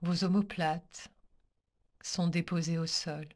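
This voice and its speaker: A woman with a low voice leads a guided meditation as a yoga teacher. She speaks very slowly and calmly, in short soothing instructions. Pauses separate her measured phrases. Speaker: yoga nidra teacher guiding a practice.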